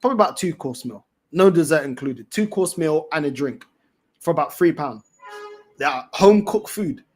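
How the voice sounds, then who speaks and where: A man's voice: on the high side, animated, normal speed, a little mellow, faint music behind. A man with a London accent chatting casually as a livestream host, in a slangy, repetitive, emphatic way. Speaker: fan channel host.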